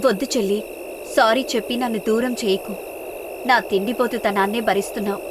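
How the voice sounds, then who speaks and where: A woman's voice: on the high side, fairly even intonation, fairly quick, noisy background, close to an everyday voice. A woman voicing a gluttonous, guileless elder sister who excuses herself in a moral story. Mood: pleading.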